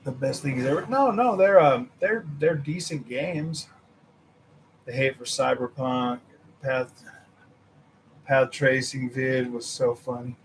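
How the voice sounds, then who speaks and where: A young man hosting a gaming channel, chatting casually with his viewers, animated and laughing, his voice a little worn from nearly losing it. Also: takes it slowly, long pauses, slight background noise, poor audio. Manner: animated, laughing, mimics others in a high voice. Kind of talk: casual livestream chat with viewers.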